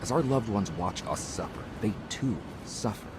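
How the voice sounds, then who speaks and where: A man's voice: lowish voice, lively intonation, moderate pace, noisy background. An actor playing a stern older Spartan mentor in a video game, grave and clipped in his speech, with music underneath. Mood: solemn counsel. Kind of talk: dramatic video game acting. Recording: loud cinematic music underneath.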